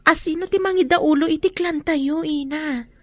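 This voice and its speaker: A woman's voice: high voice, normal speed, no room sound, lightly acted. A woman acting in an Ilocano radio drama as a worried young student from a feuding clan, earnest and anxious. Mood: dutiful.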